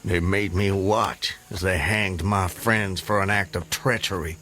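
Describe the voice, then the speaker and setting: Low-voiced, proud Southern gentleman rebel. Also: fairly even intonation, soft background music. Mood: guarded, bitter. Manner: formal, measured, grave. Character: proud, resolute Southern gentleman planter, haunted Civil War rebel. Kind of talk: radio drama acting, narrating and dialogue.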